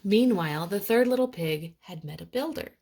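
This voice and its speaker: A woman reading a children's storybook aloud with expressive, dramatic narration. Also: mid-range voice, moderate pace.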